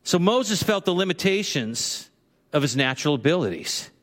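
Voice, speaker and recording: A man's voice: on the high side, sing-song, moderate pace. Man preaching in an echoing church. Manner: conversational preaching, acts out quoted voices. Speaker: pastor. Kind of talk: sermon. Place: church sanctuary. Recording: long hall reverb.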